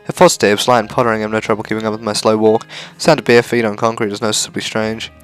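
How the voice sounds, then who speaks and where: A man's voice: on the low side, little pitch movement, fast, smooth. A man reading a visual novel aloud as narration for a gaming video. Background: faint music.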